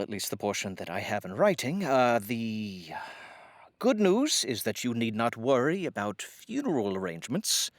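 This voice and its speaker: A man's voice: medium pitch, no echo. A man playing a courtly, fussy, dog-doting lawyer in a comedy audio drama, with florid, formal diction. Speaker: voice actor.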